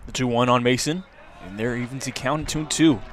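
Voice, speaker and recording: A man's voice: mid-range voice, fast talker, soft background music. A man calling a baseball game live as a radio play-by-play announcer. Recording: outdoor ballpark crowd and ambient noise. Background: ballpark crowd.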